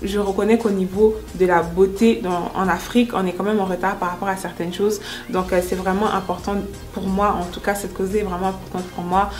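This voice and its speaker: A woman's voice: mid-range voice, level tone, fairly quick, hardly any pauses, a little mellow. Earnest young woman over background music. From born in France, grew up in the United States. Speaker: entrepreneur and makeup artist being interviewed. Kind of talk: first-person interview testimonial. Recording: music under the voice.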